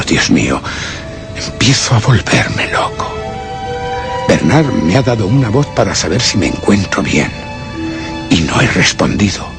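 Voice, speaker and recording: Deep castilian spanish man on the edge of madness, brooding radio drama monologue, voice swings a lot, music behind. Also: heavily acted. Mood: despairing. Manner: deliberate, brooding, theatrical monologue with formal diction. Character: tormented man reasoning obsessively, close to madness. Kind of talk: dramatic first-person suspense radio theatre narration.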